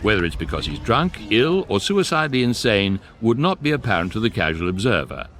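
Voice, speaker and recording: Measured British sci-fi comedy narrator: lowish voice, deadpan, varied intonation. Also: male voice. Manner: deadpan, precise. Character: dry, measured male guidebook narrator of space comedy. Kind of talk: scripted narration, radio comedy.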